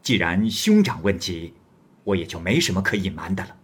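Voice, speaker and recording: Proud, poor young male scholar in an old tale, voiced by a man storyteller, low and husky. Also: big pitch swings, moderate pace, fairly bright tone, exaggerated voice. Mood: earnest.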